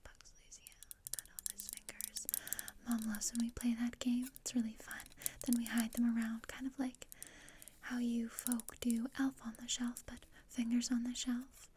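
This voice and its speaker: A woman playing a morbid, bossy gothic teenage girl in a breathy, deadpan ASMR roleplay whisper, close to the microphone. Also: on the high side, moderate pace, natural voice. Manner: deadpan, dry, matter-of-fact. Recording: close-up with clear noise and clicks.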